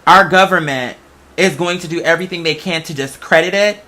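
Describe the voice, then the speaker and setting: A man's voice: a little high, a little fast, sharp tone. An American man hosting a casual unscripted monologue, talking in a rambling, conversational way and swearing freely.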